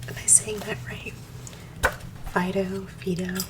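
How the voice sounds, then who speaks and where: Low grainy whispering woman. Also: little pitch movement, recorded from a distance, background noise. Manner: quick talker who stumbles on hard words. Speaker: ASMR creator. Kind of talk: soft-spoken ASMR reading and rambling. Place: at home.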